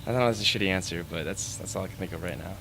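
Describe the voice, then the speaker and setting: A man's voice: low-pitched, very fast, gravelly, fairly bright tone, a little hiss or hum. A man in his thirties, a band musician, answering interview questions in a hesitant, rambling way full of fillers. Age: 30s. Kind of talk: casual interview.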